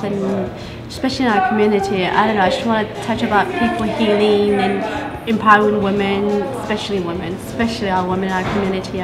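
A young woman, answering interview questions in a café in a casual, playful way. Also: medium pitch. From New Zealand. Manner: chatty and playful, laughs easily. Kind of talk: casual chat. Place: bright café by large windows. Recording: music playing under the talk.

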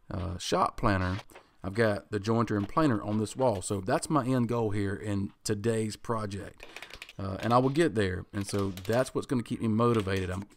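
A man in his thirties, a woodworking channel host, talking through his project in a relaxed voice-over, thinking aloud with ums and restarts. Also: on the low side, a little hiss or hum. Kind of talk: casual voice-over explaining a project.

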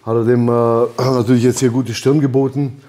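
A man in his thirties chats on a leather sofa in a gym by a boxing ring, his voice warm and mellow. He talks in long, loose, rambling runs and laughs often. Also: medium pitch, flat delivery, moderate pace. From Albanian background. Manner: laid-back, rambling, laughs often, acts out quotes. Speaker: podcast host. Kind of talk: casual rap-scene chat. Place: lounge by a boxing ring, indoors.

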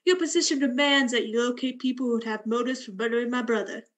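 A woman's voice: fairly high pitch, fairly even intonation, fast, lightly acted. A woman playing a cold, flippant, bitter young woman in a radio play, with a blunt, sardonic delivery.

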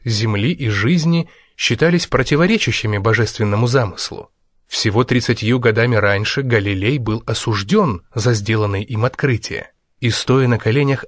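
Man, audiobook narrator, mid-pitched voice, measured deliberate phrasing, scripted nonfiction reading, very dry close sound. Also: no echo.

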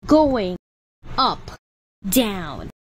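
A woman reading scripted elevator announcements in deliberate, separated words and short phrases. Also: mid-range voice, very animated.

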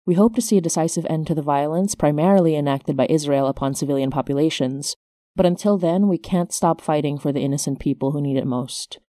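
A woman's voice: deep voice, dark tone, dry recording, studio quality. A woman hosting her podcast, reading scripted announcements as its creator.

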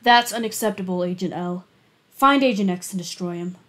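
A woman's voice: fairly low pitch, brisk, fairly bright tone, pitched below the performer's own voice, lightly acted. A woman voicing a ruthless male boss of secret agents in a storybook reading, curt and commanding.

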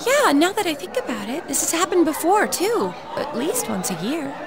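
Very high-pitched feisty American schoolgirl. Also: normal speed. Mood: relieved. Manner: expressive, blunt, exclamatory. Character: feisty, excitable, worried teenage schoolgirl. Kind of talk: English anime-style video game dubbing. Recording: game music and background crowd.

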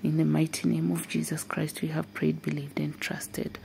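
A woman leads an intercessory prayer, fervent and steady, breaking her petitions with short repeated interjections. Her voice is very gravelly and dark-toned, with a faint hiss underneath. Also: low voice, moderate pace, rough recording. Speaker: prayer leader.

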